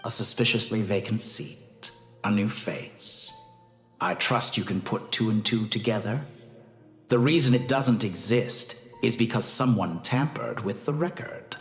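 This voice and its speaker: An actor playing an arrogant, calm mad scientist villain in a video game, speaking in a pompous, deliberate, theatrical way. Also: male voice, fairly low pitch, echoey room, soft background music. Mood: smug, lecturing.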